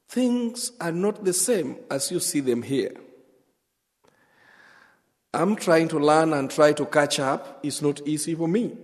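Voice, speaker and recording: A man with a Kenyan accent, whose first language is Luo, giving his testimony as a guest pastor, speaking earnestly with pauses and restarts. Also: a little high, darkish tone. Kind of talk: church testimony. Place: church sanctuary. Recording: echoey church hall.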